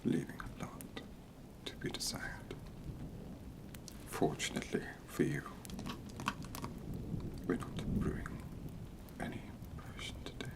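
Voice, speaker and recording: A performer voicing a stern, sardonic potions professor in a whispered ASMR roleplay, slow, deliberate and condescending, with clipped commands. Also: very high voice, wildly swooping pitch, far from the mic, heavily acted. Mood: dry, condescending. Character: stern, sardonic potions professor interrogating students at wizard school.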